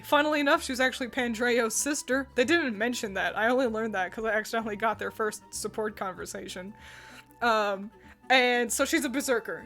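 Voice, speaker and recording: A young woman chatting casually as co-host, gushing with excitement and laughing often. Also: a little high. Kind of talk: casual chat.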